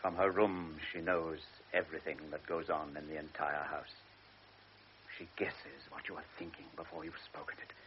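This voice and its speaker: An actor playing a frail, anxious elderly baron who is a devoted father. He speaks earnestly, stammers and drops into hushed tones. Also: rumbling low, flat delivery, moderate pace. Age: older. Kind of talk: classic radio drama acting. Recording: lo-fi radio recording with faint hiss.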